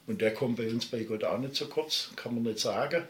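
A man's voice: mid-range voice, a little fast, roomy sound, slight background noise. A middle-aged man with a Swabian accent, talking passionately and at length as an interview guest. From Baden-Württemberg, Germany. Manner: passionate, emphatic, digressive. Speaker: musician guest. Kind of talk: interview talk.

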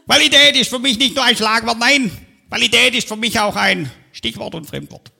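A man's voice: very high-pitched, moderate pace, creaky, sharp tone, breathy edge, heavily acted. A man doing a pompous windbag orator. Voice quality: pompous, convoluted, self-important. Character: pompous long-winded male speaker, self-important. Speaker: comedian on stage. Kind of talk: parody of a pompous official speech. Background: audience laughter.

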